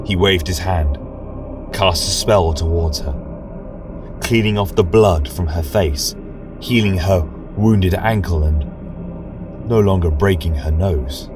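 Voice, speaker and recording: Deep, slow male fantasy storyteller. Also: recorded from a distance, music in the background. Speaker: storyteller, podcast narrator. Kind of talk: solemn dramatic scripted fantasy narration.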